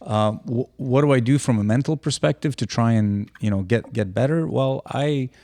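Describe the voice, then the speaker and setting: A man's voice: medium pitch, normal speed, warm tone, no room sound. An older retired man chatting casually as a podcast co-host, rambling with frequent restarts. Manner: rambling, many restarts, easygoing. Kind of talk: casual chat.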